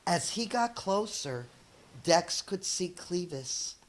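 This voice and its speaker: A middle-aged woman reads a children's story aloud like a schoolteacher. Her voice sits a little low, and she takes her time with deliberate, expressive phrasing. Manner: slow, deliberate, acts out dialogue.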